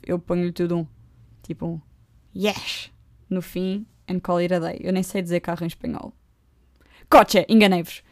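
A young woman with a European Portuguese accent hosting her own podcast, chatting casually and poking fun at herself with quick asides. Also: lowish voice. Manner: joking, self-mocking, lively asides. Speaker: podcast host. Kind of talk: casual solo podcast chat. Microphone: close podcast microphone.

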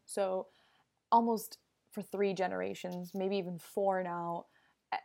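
Young woman, solo podcast host; mid pitch, heavy vocal fry, earnest and digressive. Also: darkish tone. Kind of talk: casual solo podcast monologue.

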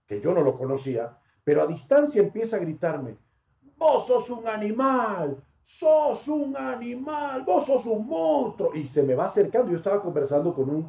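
High-voiced, animated male sports reporter. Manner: animated, expansive storyteller. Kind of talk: interview answers, anecdote telling. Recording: dull, roomy sound.

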